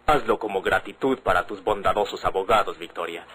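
A man playing a considerate, educated Mexican gentleman in an old radio drama, polite and measured, with a husky voice. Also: low-pitched. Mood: persuading.

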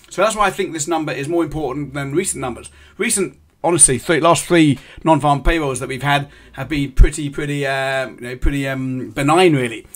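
A middle-aged man gives live commentary on currency markets to his stream viewers. He explains things step by step, pausing often on ums and starting sentences over. He sounds like he is in a small indoor room, speaking into a desk microphone. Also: a little high, fairly bright tone. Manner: explanatory, halting with many ums and restarts. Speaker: forex trading host.

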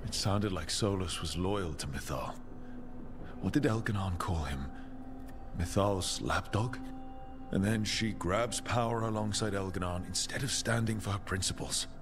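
An actor playing a serious, sympathetic adventuring leader in a fantasy video game, sober and questioning. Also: male voice, sits low, unhurried, music in the background.

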